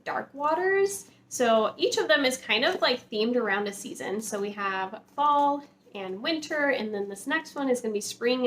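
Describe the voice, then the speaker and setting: A woman with a fairly high voice chats casually to her viewers in an enthusiastic, gushing manner, peppering her sentences with "like." She sounds like an informal book vlogger, recorded with noticeable background noise. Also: a bit bright. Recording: clear background noise, room ambience.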